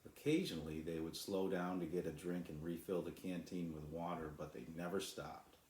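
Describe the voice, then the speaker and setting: Deep-voiced man in an echoey room. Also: warm tone. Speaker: author reading his own children's book. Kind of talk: storybook narration.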